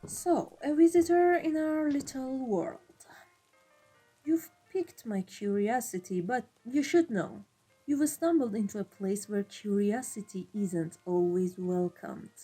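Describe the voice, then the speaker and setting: Husky, dark-voiced female vampire mafia boss, acted by a woman; cold, imperious, measured ASMR roleplay over music. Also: on the high side, rough recording. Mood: intrigued, probing. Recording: music behind the voice. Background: classical background music.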